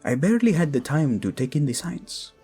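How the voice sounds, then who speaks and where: An actor playing a protective, lovesick king in a romantic audio drama, speaking tenderly and haltingly with a Spanish accent. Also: on the high side, big pitch swings, dark tone, husky, faint music behind, full character voice. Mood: warm, curious. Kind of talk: intimate romantic audio drama acting addressed to listener.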